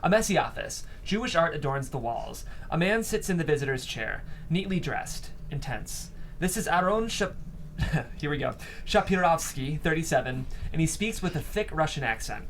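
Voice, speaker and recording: Higher-voiced man narrating a screenplay. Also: lively intonation, normal speed, a bit bright, a little hiss or hum. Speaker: host and script narrator. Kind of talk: reading screenplay stage directions aloud. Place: studio.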